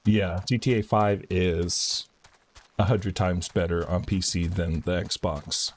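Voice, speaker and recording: A man's voice: low-pitched, fairly even intonation, takes it slowly, a little mellow. A man live streaming a video game, chatting casually and reading quest text aloud with some stumbles.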